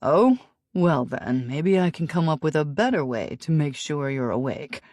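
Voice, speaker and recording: A woman voicing a commanding, possessive man in an audiobook, speaking in a low, gloating drawl. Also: lively intonation, measured pace, a little mellow, dry recording, clean studio sound. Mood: teasing. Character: commanding, possessive grown man, teasing lover. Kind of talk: audiobook character voice.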